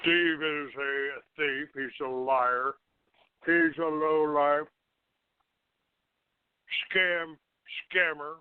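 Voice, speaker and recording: A man hosting a call-in show, ranting off the cuff in a halting, accusatory and combative manner. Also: fairly high pitch, fairly even intonation, smooth. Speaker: internet call-in show host. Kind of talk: unscripted talk show rant. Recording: narrow, tinny phone-like line.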